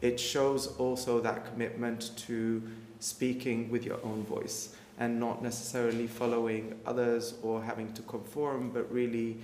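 Flat, measured young man on a panel. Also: mid-range voice. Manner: slow, measured, even delivery. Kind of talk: panel discussion. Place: art gallery room. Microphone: stand mic. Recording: echoey hall, faint noise.

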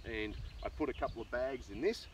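A man's voice: medium pitch, brisk. Australian man rambling outdoors, off-mic. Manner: rambling, thinking aloud. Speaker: farmer and video host. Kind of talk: casual unscripted vlog talk. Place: outdoors in an open rural pasture. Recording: distant outdoor pickup with ambient noise.

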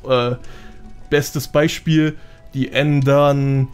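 A man with a medium-pitched voice talks as a guest on a casual gaming podcast. He explains things in slangy everyday speech, dropping in English gamer words. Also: little pitch movement, very slow, smooth, faint music behind.

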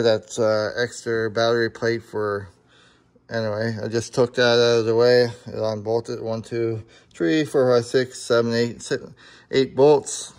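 A man explaining a do-it-yourself repair in a casual, unscripted, halting and plain-spoken way, recorded in a home garage on a handheld camera. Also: mid-range voice. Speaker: amateur DIY car repair video maker.

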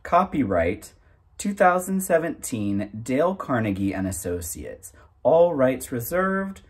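Animated, slow-paced man with a husky voice. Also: mid-range voice. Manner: self-conscious, informal, halting, often restarting. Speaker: host of a reading channel. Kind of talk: casual, unscripted chat to camera.

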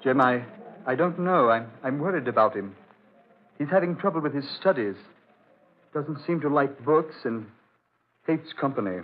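An actor playing a genial but evasive, weary country doctor with a halting way of talking, in 1940s radio drama style. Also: male voice, medium pitch, lively intonation, lightly acted. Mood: worried, subdued. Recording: tinny, noisy old radio recording.

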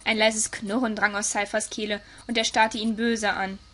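A woman's voice: medium pitch, level tone, normal speed, a bit bright. A woman narrator reading a scripted audio story. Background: music.

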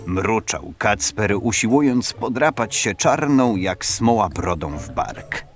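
A male narrator tells a fantasy story in a low, animated voice. The delivery is scripted, measured and dramatic, with clear music playing behind. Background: background music.

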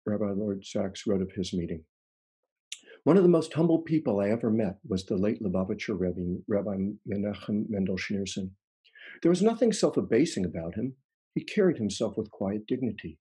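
A man in his sixties reading a scripted eulogy in a solemn, measured way, recorded indoors on a video call. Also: on the low side, lively intonation, warm tone, breathy edge, low-quality recording. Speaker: rabbi giving a tribute. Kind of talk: scripted eulogy, read aloud.

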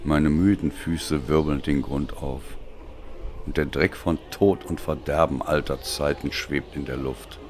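A man reading a dark story as a dramatic narrator, in a brooding, deliberate and theatrical manner. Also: a bass voice, steady tone, slight background noise. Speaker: audiobook narrator.

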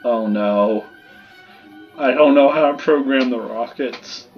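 Guy doing a casual playthrough, talks slow and low to himself, kinda dull lo-fi mic. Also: breathy edge, faint music behind. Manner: muttering to self. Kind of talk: casual gameplay commentary.

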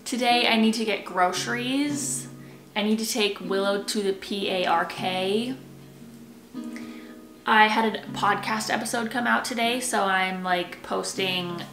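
A woman's voice: mid-range voice, unhurried, vocal fry. A woman in her twenties with an American accent, chatting casually to camera in a rambling, informal way as she vlogs. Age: 20s. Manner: rambling, informal, self-interrupting. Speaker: lifestyle vlogger. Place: rooms in a home, indoors. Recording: echoey bare room, music underneath. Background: background music.

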